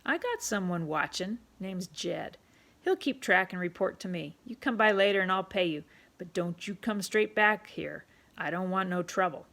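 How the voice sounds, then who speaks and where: A woman's voice: on the low side, animated, normal speed, fairly bright tone. A woman doing a brusque, coarse pub owner. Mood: gruff, bossy. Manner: rough, ungrammatical talk, bossy.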